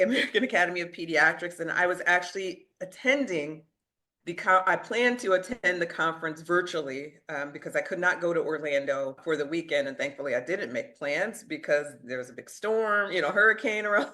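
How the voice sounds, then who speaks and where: An earnest woman physician, middle-aged, talking as an interview guest. She tells her story in a steady conversational way and breaks into short laughs at her own boldness. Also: lowish voice, brisk.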